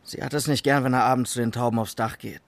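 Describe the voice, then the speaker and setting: An actor playing an insolent, wisecracking young suspect in a radio crime drama. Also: male voice, medium pitch, fairly even intonation, quick pace, dry recording, lightly acted. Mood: defiant. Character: cheeky, defiant young male suspect.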